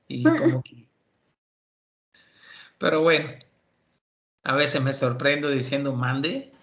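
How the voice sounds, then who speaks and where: A man from Cuba teaching an online university class, relaxed and chatty with many fillers. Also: mid-range voice, slow. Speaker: university professor.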